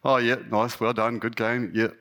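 A man with a New Zealand accent and a slightly husky voice, giving an informal, lightly humorous, self-deprecating sermon to a congregation as a lay guest preacher. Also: medium pitch, level tone, moderate pace, fairly bright tone.

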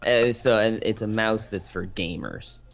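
A man's voice: measured pace, vocal fry. A young man co-hosting a podcast in casual, joking chat, keeping his voice down at night. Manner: joking, keeps voice low for sleepers at home. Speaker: podcast co-host.

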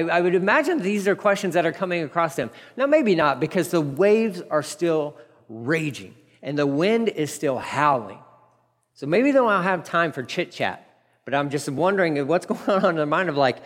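A man pastor preaching a verse-by-verse sermon, animated, acting out the story's dialogue in different voices. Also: fairly high pitch, big-room sound. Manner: animated, acts out dialogue with laughs.

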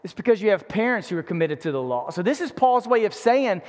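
A male preacher in his forties speaks in a notably high register. His delivery is conversational yet emphatic, circling back over phrases to give them weight. Long reverb from a large hall trails his words. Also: sing-song, fast. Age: 40s. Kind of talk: conversational sermon. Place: large indoor room before an in-person audience.

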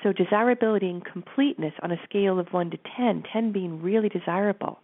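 A woman with a stuffy nose, calmly guiding listeners through an exercise from her office and speaking directly to them. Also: medium pitch, fast. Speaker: host and coach. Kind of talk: guided teaching talk. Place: home office. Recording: narrow phone-like line.

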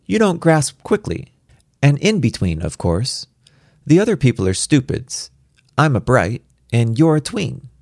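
A man's voice: medium pitch, sing-song, measured pace, creaky, darkish tone, no room sound, clean studio sound, pitched above the performer's own voice, exaggerated voice. A man voicing a precocious four-year-old girl in a storybook reading, matter-of-fact, patient and superior. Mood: patient, exasperated.

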